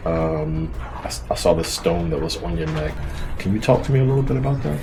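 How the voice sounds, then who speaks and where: Upbeat lower-voiced male travel host. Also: sing-song, a little fast, gravelly, roomy sound. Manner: upbeat, encouraging, effusive praise. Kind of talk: casual travel interview.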